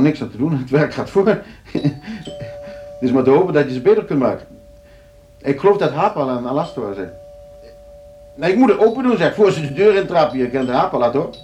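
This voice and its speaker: Self-assured host, animated higher voice. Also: male voice. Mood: casual, chuckling. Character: self-assured jurist host, genial on top, coolly provocative beneath. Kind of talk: Dutch radio play acting. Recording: noisy old radio play recording, clicks.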